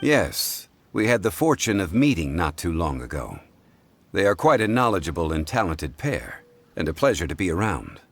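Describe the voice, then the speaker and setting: An actor playing a calm, learned male consultant in a game cutscene, deep and courteous, correcting others in an unhurried, polite way. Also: exaggerated voice. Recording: game cutscene with faint score.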